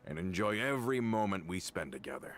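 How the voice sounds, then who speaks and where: A courtly, deep-voiced retainer. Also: male voice, big pitch swings, very fast, creaky, heavily acted. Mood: grateful. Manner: formal, refined wording. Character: courtly adult male retainer, loyal and formal.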